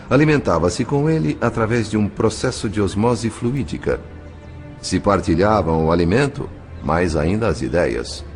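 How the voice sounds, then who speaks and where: A Brazilian man playing a composed spirit narrator in an audio novel, speaking in a formal, articulate, measured way. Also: low-pitched. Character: composed male spirit narrator recounting his undercover mission. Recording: music behind the voice. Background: music.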